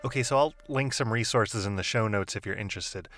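A man with a low, deep-set voice explains music theory in a calm, measured way. The recording is dry and close, with no room sound around him. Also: no echo. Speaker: podcast host. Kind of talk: scripted explanatory narration. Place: home office.

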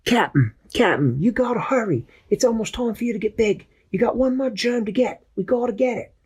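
Storybook sidekick: eager young crewman, pitched well up, voiced by a man. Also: animated, darkish tone, breathy edge, full character voice. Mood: urgent. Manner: eager, loyal, quick replies. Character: brave boyish crew member with medicine gun.

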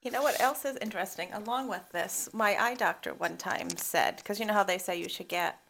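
A young woman co-hosting a podcast, chatting casually with a relaxed, rambling way of telling stories. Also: medium pitch, a little fast, vocal fry, slight background noise, low-quality recording. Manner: relaxed, filler-heavy storytelling. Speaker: podcast co-host. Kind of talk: casual chat.

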